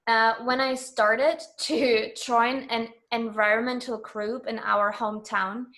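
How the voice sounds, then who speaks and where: Higher-voiced woman from Germany, chatting. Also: steady tone, slow pace, fairly bright tone, poor audio. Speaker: interview guest. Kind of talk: casual conversational interview.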